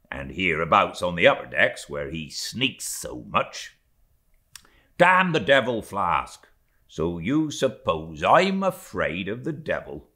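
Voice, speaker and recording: A brash old-time whaling mate played by a man reading a novel holds forth with boastful swagger. His voice is forceful and leaps about in pitch, each claim hammered home with blustering emphasis. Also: heavily acted. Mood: blustering, defiant. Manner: blustering, emphatic, rambling tall talk.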